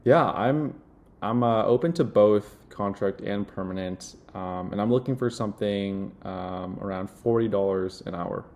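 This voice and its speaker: A young man with a low-pitched voice. He talks casually, as if demoing a product indoors, and his delivery halts often on filled pauses. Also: a little mellow. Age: young adult. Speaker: software demo presenter playing a job candidate. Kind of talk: casual product demo and phone screen.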